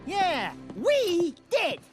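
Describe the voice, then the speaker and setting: A cocky little furry sidekick, high-pitched and slow to stretch out his words. He is a boastful video game wisecracker who swings about lively, with music behind him. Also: exaggerated voice. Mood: indignant, excited. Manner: boastful, exclaiming. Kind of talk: video game cartoon acting.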